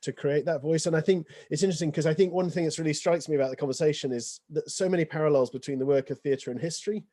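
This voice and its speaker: A man chairing a panel discussion, summing up and thanking the speakers in a measured, appreciative way. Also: fairly high pitch, dark tone, no echo.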